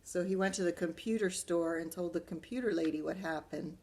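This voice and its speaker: A woman's voice: lowish voice, a little fast, darkish tone, breathy edge. A woman librarian talking young children through a storybook, explaining and asking them questions as she goes. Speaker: school librarian reading to children. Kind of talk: animated children's storybook read-aloud with asides.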